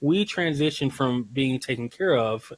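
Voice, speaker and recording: A man hosting a healthcare podcast, reading a smooth scripted intro and then chatting casually, with some stumbles and repeated words. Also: mid-range voice, lively intonation, measured pace, dry recording. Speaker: podcast host, nurse.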